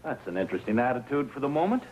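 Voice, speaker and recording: An actor playing a calm, probing police lieutenant in a 1950s TV crime drama, speaking in a measured, drily sardonic way. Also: male voice, medium pitch, lightly acted. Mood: dry, probing. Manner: measured, dry, probing questioning. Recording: noisy 1950s TV soundtrack.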